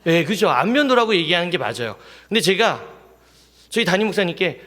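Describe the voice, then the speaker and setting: A man preaching a Sunday sermon as pastor, in a lively manner, often asking the congregation questions. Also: high voice, fairly quick. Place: church sanctuary. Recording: long hall reverb in a church.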